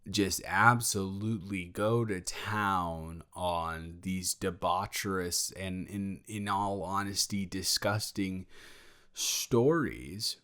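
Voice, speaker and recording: Slow, halting, low-voiced guy acted by a man. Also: fairly bright tone. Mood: tense, indignant. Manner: halting, rambling, awkwardly candid. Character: sheepish, protective male best friend confessing to a fight. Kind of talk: improvised audio roleplay, intimate conversational monologue.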